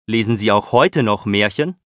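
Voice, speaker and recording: A man playing a polite male radio host in a language-course listening exercise, speaking clearly. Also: on the low side, normal speed, fairly bright tone, no room sound, clean studio sound, close to an everyday voice. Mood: welcoming. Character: friendly male radio host, middle-aged. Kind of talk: scripted radio host dialogue.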